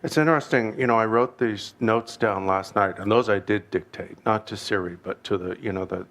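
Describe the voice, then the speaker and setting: A Canadian man with a low, gravelly voice gives an unhurried Buddhist teaching. He wanders off on asides, restarts his sentences and pokes fun at himself. His low pitch carries a steady rasp of vocal fry. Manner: halting, digressive, wry self-deprecating humor. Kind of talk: informal dharma teaching.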